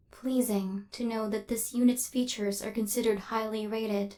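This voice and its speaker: A woman's voice: on the high side, a little mellow, natural voice. A woman voicing a female robot assassin in an ASMR roleplay, cold and relentless, with clipped, formal, mechanical delivery. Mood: curious, softening.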